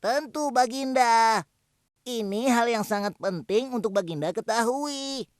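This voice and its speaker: A woman's voice: fairly high pitch, measured pace, no room sound, clean studio sound, natural voice. A woman voicing an earnest, humble young hoopoe bird messenger in a children's cartoon, with an exclamatory delivery. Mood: humble, deferential. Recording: loud music behind the voice.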